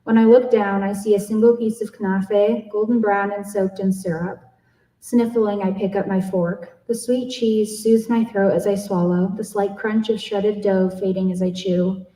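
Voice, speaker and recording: A woman's voice: mid-range voice, steady tone, unhurried, dark tone. A woman reading a literary story aloud expressively at a live event. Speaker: contest winner reading own story. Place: library event room with audience. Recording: echoey room, distant microphone.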